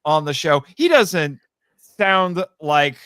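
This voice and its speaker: A man chatting casually as a podcast guest, telling anecdotes in a rambling, animated way and acting out bits of conversation. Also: fairly high pitch, takes it slowly, clean tone, dry recording, studio quality. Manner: rambling, animated storytelling with acted-out quotes. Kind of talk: casual anecdotal interview chat.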